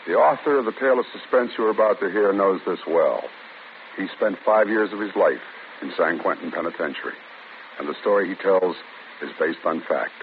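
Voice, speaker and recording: A man introducing a radio thriller, grave and measured in a scripted 1940s manner. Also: low voice, lively intonation. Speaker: radio drama host. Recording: tinny old radio broadcast with hiss.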